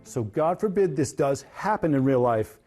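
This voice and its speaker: A man's voice: on the high side, lively intonation, normal speed, dark tone. A man who works as an instructor, speaking in interview soundbites. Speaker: former air traffic controller, instructor. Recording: music behind the voice.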